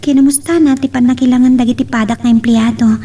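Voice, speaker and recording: A woman's voice: high voice, steady tone, quick pace, mellow. A woman with an Ilocano Filipino accent acting a scheming, lovelorn young woman in an emotional romance drama. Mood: confiding. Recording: distant mic with faint noise.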